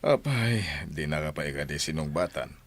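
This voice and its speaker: A man's voice: extremely low pitch, animated, normal speed, full character voice. An actor playing a blunt, stern man in an Ilocano village radio drama. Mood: fatherly, probing.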